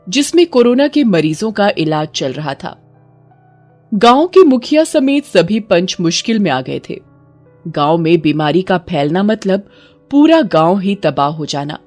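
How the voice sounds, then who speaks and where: A woman narrates a horror tale with dramatic delivery. Her voice sits a little low and moves in very animated swings, with soft music playing underneath. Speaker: story narrator. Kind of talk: scripted horror story narration. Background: background music.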